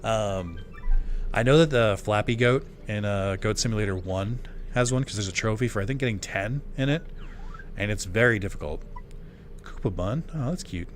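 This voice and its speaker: A man's voice: on the low side, normal speed, faint music behind. Chatty male streamer losing his voice. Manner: rambling, chatty, with many fillers and asides. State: voice going hoarse. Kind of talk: casual live-stream chat while gaming.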